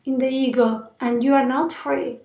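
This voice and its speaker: A woman from Argentina with an Argentine Spanish accent and a raspy voice, speaking earnestly and reflectively as an interview guest. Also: high-pitched, fairly even intonation, moderate pace, a little mellow. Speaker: interview guest, life and movement coach. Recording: roomy echo, distant mic, dull sound.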